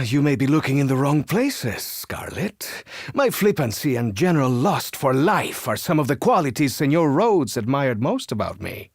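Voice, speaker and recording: A vain, witty gunslinger with a thick Spanish accent in a video game, his voice leaping all over the place with theatrical flair. The tone sits a touch high and slightly husky, heard dry and close. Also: dry recording, heavily acted. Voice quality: suave, theatrical, playfully boastful. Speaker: game character.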